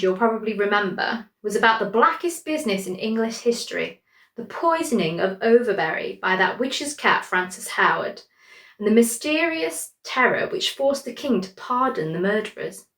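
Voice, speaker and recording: A woman's voice: mid-range voice, echoey room, lightly acted. A woman reading a witty male journalist's letter in a wry, conversational way.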